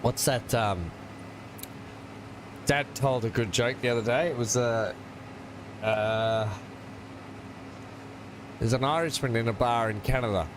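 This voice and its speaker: A man's voice: mid-range voice, unhurried, long pauses. Man, Australian accent, casual joking, laughs a lot, headset mic, noisy background. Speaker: gaming channel host. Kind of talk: casual chat while streaming a game.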